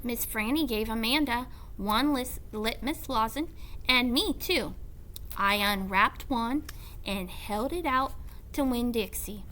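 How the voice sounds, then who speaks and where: Slow, expressive high-voiced woman reading aloud. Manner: deliberate, animated. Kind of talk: expressive storybook narration.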